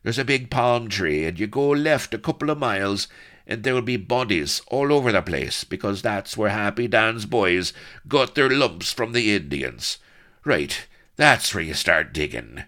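A man voicing an anguished captive colonel in an adventure story, strained yet clear, eager to save his own skin. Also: on the low side, big pitch swings, measured pace, sharp tone, voice pushed up, heavily acted.

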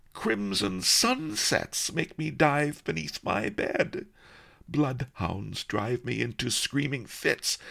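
A man's voice: fairly high pitch, vocal fry, a bit bright, voice pushed up, exaggerated voice. A man voicing a small, squeamish, melodramatic rabbit who wails theatrically. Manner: theatrical wailing, overwrought. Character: squeamish little male Key Rabbit, melodramatic, wailing.

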